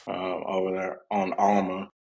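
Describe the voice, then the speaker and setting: A man's voice: sits low, completely flat delivery, unhurried, vocal fry, a little mellow, lo-fi sound. A man from Oklahoma joining a podcast as a guest over a video call, easygoing and friendly. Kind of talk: casual chat.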